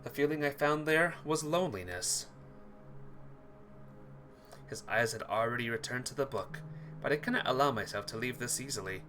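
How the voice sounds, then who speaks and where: A man reading a visual novel aloud as a playthrough host, narrating in an expressive, theatrical way. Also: medium pitch, moderate pace, pauses often. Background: music.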